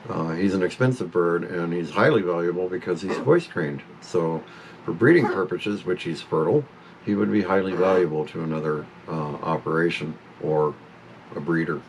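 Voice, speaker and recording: A man in his fifties chats at home about his parrot. His voice sits very low with a gravelly fry, and his talk wanders and breaks off to scold the bird. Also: moderate pace, a little hiss or hum, poor audio. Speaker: pet owner vlogger. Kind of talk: casual talk to camera.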